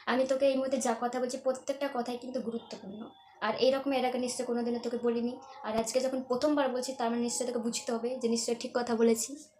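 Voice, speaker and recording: A woman's voice: on the high side, level tone, quick pace, darkish tone. Theatrical pleading young woman. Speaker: vlogger making a prank call. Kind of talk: dramatic prank-call pleading. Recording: echoey room with faint hiss.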